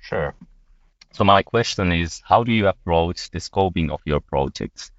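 Very deep-voiced Finnish-born man. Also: unhurried, no echo. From Finland. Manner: hesitant, filler-heavy explaining. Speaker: marketing officer. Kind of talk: panel podcast discussion. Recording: dull dry lo-fi sound.

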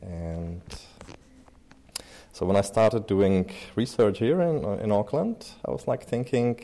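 Man, 30s, deep warm voice, relaxed rambling interview guest. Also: slow pace, gravelly. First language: Czech. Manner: loose and chatty, many fillers and restarts. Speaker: interview guest, pianist and researcher. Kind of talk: casual interview talk.

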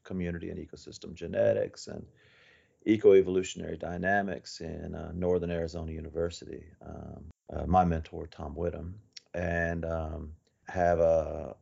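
Male research guest, low voice with heavy vocal fry, informal interview talk, muffled recording. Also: flat delivery, normal speed, dark tone. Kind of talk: conversational podcast interview.

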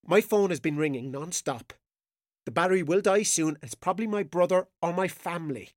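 A man's voice: high voice, a little fast, dry recording, clean studio sound, lightly acted. A man playing a frightened captive in a radio drama, with an agitated, defensive, pleading delivery. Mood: pleading, anxious.

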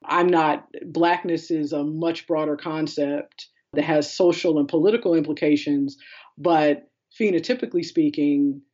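A woman's voice: sits low, steady tone, a little slow, darkish tone. Woman curator in dull, lo-fi audio. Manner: discursive, explanatory, quotes others in conversational paraphrase. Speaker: art curator being interviewed. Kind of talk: conversational interview answers.

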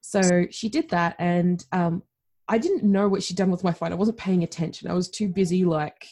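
A woman's voice: fairly low pitch, normal speed, mellow. A woman with an Australian accent, a podcast guest telling her story in a chatty, candid way and laughing often. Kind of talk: conversational storytelling.

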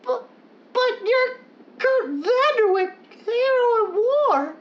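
A woman playing a timid, earnest young boy sidekick with a squeaky, childlike voice in an old-time radio adventure parody. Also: expressive, slow, highs cut off, full character voice. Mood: dismayed pleading.

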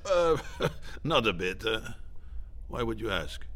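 Officious deputy minister, bright forceful voice, lowish pitch, stammering, formal, satirical radio play. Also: male voice, very animated, slow, exaggerated voice. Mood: nervous, secretive. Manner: hesitant, stammering, formal and effusive. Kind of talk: satirical audio drama acting.

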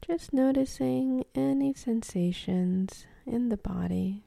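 A woman guides a meditation slowly and softly, often slipping toward a whisper. Her tone is very dark and relaxed, with a creaky edge. She leaves long, calm pauses between short phrases. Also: a little high, no echo, studio quality. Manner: soft, calm, breathy, half-whispered delivery with long pauses.

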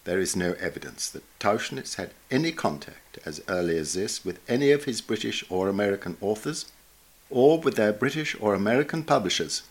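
A man reading a scholarly article aloud in a formal, measured, bookish manner. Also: lowish voice, expressive, low-quality recording. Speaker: reader of a journal article. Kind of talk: scripted narration, reading an essay aloud.

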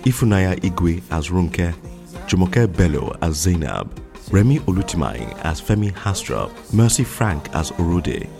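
A man's voice: deep voice, big pitch swings, unhurried, vocal fry, music in the background. A man reading scripted announcements as the credits announcer for an audio drama.

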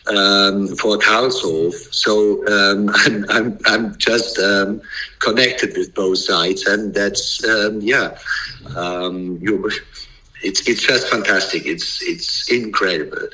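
A man's voice: fairly low pitch, pushed and bright, rough recording. A middle-aged German man with a German accent, a breeder and bloodstock agent, talking hesitantly with many fillers while his voice gives out. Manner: halting, many fillers and restarts. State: losing his voice.

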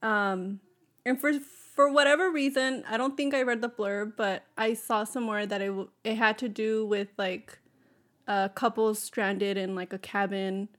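A woman's voice: mid-range voice, fairly even intonation, unhurried, fairly bright tone. A woman co-hosting a casual podcast who rambles through her story with fillers and restarts. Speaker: podcast co-host.

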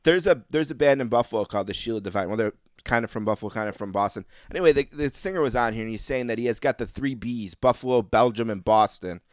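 A man hosting a casual interview talks fast and keeps his questions long and winding. His voice is smooth and clear, and the recording sounds dry and close. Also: mid-range voice, no echo. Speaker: podcast host.

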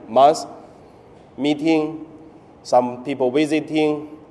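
Slow, mellow-voiced priest with Chinese accent. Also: male voice, mid-range voice, long reverb tail. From mainland China. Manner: halting, broken English with pauses and fillers. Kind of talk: Sunday homily.

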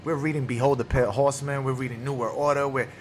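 Animated New guy chatting. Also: medium pitch, fast, a little hiss or hum. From New York City. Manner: animated, loose street slang. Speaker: podcast co-host. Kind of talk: casual chat.

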